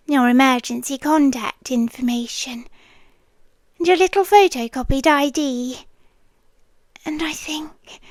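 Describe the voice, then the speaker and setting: A British woman playing an obsessive, possessive landlady girlfriend in an intimate ASMR roleplay, soft and breathy, often dropping into whispers, close to the mic. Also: high-pitched, no room sound. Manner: slow, intimate, coaxing, possessive. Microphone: close, dry microphone.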